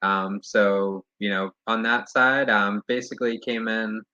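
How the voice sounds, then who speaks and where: A man moderating an online panel, chatting loosely with frequent fillers and restarts. His voice is bright and dry, and it comes through dull, lo-fi video call audio. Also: on the low side, fairly even intonation, unhurried, no room sound. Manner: casual, many fillers and restarts.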